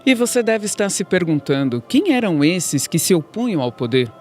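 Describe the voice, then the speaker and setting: A woman's voice: on the low side, sing-song, normal speed, a little mellow, faint music behind. A woman with a Brazilian accent reading scripted narration for a radio documentary.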